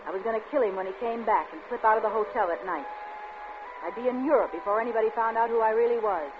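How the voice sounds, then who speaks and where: A woman's voice: on the high side, fast, lightly acted. An actress playing a scheming, vengeful and seductive woman crook in a 1940s radio suspense drama, narrating her own crime. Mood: coldly calculating. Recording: dull, noisy old radio recording.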